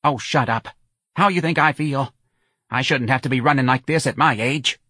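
A man voicing a fretful, nagging older working-class mother with husky, scolding, colloquial speech in an audiobook. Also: medium pitch, normal speed, bright, forceful tone, no echo, studio quality, pitched above the performer's own voice. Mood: snappish. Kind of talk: audiobook character voice.

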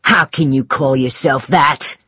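A man voicing an angry young mother who shouts accusingly at her husband in an audiobook story. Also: medium pitch, steady tone, measured pace, breathy edge, dry recording, studio quality, voice pushed up. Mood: furious, accusing.

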